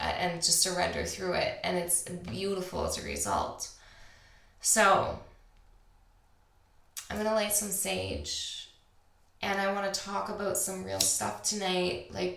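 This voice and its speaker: A woman with a slightly raspy voice full of vocal fry, recorded in an echoey room. She hosts a spiritual podcast alone, speaking in a confiding, unscripted way. She leaves thoughtful pauses as she goes. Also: lowish voice, a bit bright. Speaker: podcast host. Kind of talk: intimate solo spiritual podcast talk. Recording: echoey, roomy sound.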